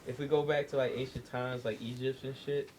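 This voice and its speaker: A male podcast co-host in loose, casual conversation. His voice sits at an average pitch and he explains things insistently, full of slang and cursing. There is a bit of noise behind him. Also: little pitch movement, a little fast, darkish tone, poor audio. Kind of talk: casual group chat. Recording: noticeable background noise.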